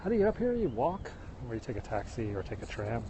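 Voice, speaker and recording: A middle-aged man with a Midwestern American accent talks to his viewers as he walks a hillside trail. He sounds like a chatty tour guide pointing out the sights. His phone picks up loud cicadas and insects buzzing around him. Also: medium pitch, sing-song, fast talker, background noise. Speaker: live-streaming vlogger. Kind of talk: casual walking livestream chat. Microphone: phone held while walking.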